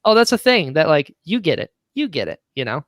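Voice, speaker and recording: Higher-pitched man telling stories in podcast chat. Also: very animated, fairly quick, a bit bright, dry recording, studio quality. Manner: enthusiastic, chatty, quotes conversations. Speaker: audio drama writer and producer, podcast guest. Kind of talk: casual podcast chat, animated storytelling.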